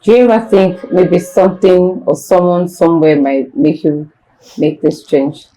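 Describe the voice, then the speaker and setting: Playful Nigerian woman host, warm and low. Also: fairly even intonation, measured pace, rough recording. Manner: playful, teasing, slips into pidgin. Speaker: talk show host. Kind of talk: casual interview.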